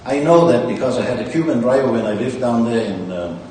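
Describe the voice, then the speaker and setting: A man's voice: medium pitch, lively intonation, a little fast, warm tone, a little hiss or hum. Boastful Danish man in an echoey hall. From Denmark. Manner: boastful, rambling, salesy. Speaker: company founder giving a speech. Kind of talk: conference speech. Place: large conference hall. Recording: distant mic in an echoey hall with faint ambience.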